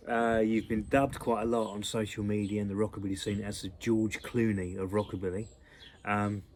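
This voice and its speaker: Male interview host, lowish voice, hesitant and chatty. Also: a little mellow, a little hiss or hum. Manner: hesitant, with "um"s and repeats. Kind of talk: casual interview questions.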